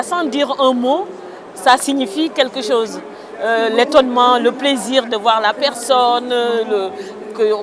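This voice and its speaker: A woman from Senegal, an author answering interview questions outdoors in a thoughtful, hesitant way with many fillers. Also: fairly high pitch. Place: outdoors in a town. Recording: crowd noise outdoors. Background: crowd chatter.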